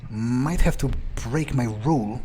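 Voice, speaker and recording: A man's voice: mid-range voice, measured pace, warm tone, faint music behind, natural voice. A man voicing a cocky, bloodthirsty newly turned vampire, thinking aloud in a profane, musing way during a video game roleplay. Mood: predatory, relaxed. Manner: musing, arrogant, profane, hesitant pondering. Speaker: player character in a roleplay playthrough. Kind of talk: in-character video game playthrough commentary.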